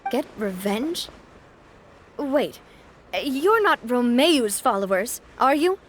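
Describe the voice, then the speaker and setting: A woman's voice: sits high, big pitch swings, slow, slight background noise, full character voice. An actress playing a confident young woman who runs a syndicate, kind but firm and composed, in a video game. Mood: stern.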